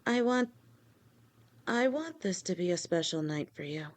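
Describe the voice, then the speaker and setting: A woman playing an affectionate lamia girlfriend in an audio roleplay, her voice swooping up and down with lively expression. She speaks slowly and lingers on her words, teasing with sweet pet names. She stammers and rambles whenever her nerves get the better of her. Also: on the low side, slight background noise. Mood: cheerful, doting. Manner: stammers and rambles when nervous. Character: doting, playful adult female lamia girlfriend. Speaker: voice actor. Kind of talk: listener-directed audio roleplay.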